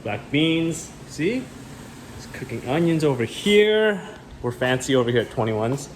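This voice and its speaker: A man's voice: on the high side, sing-song, a little slow. A firefighter from Los Angeles, casually explaining his job and gear to a class of children. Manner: easygoing, explains plainly with fillers. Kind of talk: casual career-day talk to children. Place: fire station. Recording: echoey station room, far from the mic, noisy.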